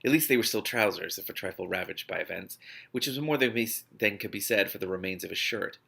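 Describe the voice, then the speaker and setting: A man's voice: deep voice, fast, gravelly, sharp tone, slightly rough. A man reading a novel aloud expressively, breaking off into spontaneous amused and exasperated asides as host. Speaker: host of an online read-aloud series.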